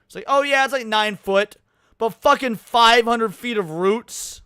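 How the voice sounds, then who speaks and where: A man's voice: very high-pitched, unhurried, bright, forceful tone, clear, resonant tone, dry recording, studio quality. A man reacting casually to a video on a livestream, talking in slang and swearing.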